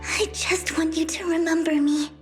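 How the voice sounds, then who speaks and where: A devoted, dramatic young heroine speaks in breathy whispers and anxious, fussing questions. Her voice sits very high, and a game score plays clearly behind her. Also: steady tone, moderate pace. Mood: hushed. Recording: game cutscene with music behind.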